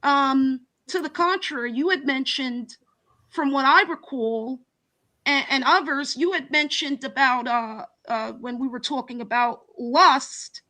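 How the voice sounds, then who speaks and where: A woman caller arguing loudly and insistently in a live chat, often restarting and repeating her words. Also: high voice, measured pace. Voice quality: loud projecting voice. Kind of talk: heated debate on a live chat.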